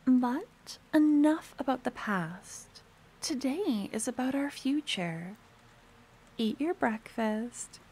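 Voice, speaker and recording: Woman acting obsessive fantasy merchant girl, higher-pitched, extremely unhurried, sweetly menacing, breathy, possessive, ASMR roleplay. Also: darkish tone, exaggerated voice. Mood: commanding, sweet. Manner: doting, cooing, breathy half-whispers.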